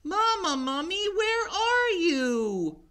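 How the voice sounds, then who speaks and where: A storytime reader voicing a little baby boy mummy who pleads and calls out in a high squeaky voice. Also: big pitch swings, extremely slow, pushed and bright, full character voice. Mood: calling.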